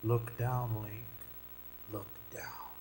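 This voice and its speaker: A man's voice: mid-range voice, little pitch movement, very slow, low-quality recording. A man playing a solemn dead friend with a bearded patriarch's dry, matter-of-fact, grave voice in a radio play. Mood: stern, hushed. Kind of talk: stage radio drama acting. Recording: loud music over the voice.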